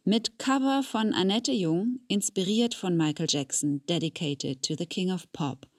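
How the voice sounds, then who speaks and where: A woman's voice: fairly low pitch, measured pace, dry recording, studio quality. A woman reading scripted closing credits as a podcast announcer.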